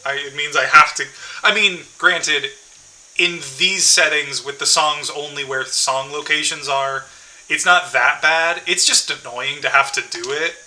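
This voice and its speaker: A man's voice: fairly high pitch, steady tone, measured pace, bright, forceful tone, poor audio. A man streaming a game, chatting casually to viewers and thinking aloud with many fillers and restarts.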